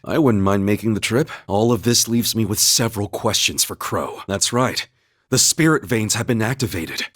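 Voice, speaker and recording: An actor playing a calm, earnest young warrior in a video game, humble and loyal, with a measured, formal way of speaking. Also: male voice, lowish voice, husky. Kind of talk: scripted video game voice acting, battle cries and story dialogue.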